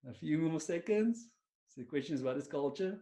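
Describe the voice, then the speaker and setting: A man's voice: fairly high pitch, expressive, fairly quick, mellow. A man with an Afrikaans South African accent presenting a webinar on a video call, chatty and self-deprecating, with many fillers and restarts. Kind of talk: online webinar talk to a silent audience.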